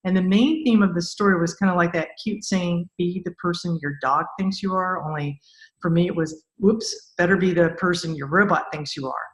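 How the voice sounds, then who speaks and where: A woman's voice: fairly low pitch, normal speed, echoey room, rough recording. A woman hosting a conversational podcast interview, speaking in an effusive, enthusiastic way.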